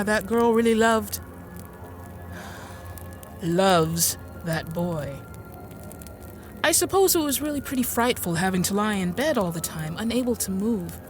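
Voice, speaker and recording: An actress playing a stern, uneasy woman recounting a dark tale in an audio drama, with a hesitant, insistent delivery. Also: medium pitch, lightly acted. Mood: wistful, recounting. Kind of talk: audio drama monologue and dialogue.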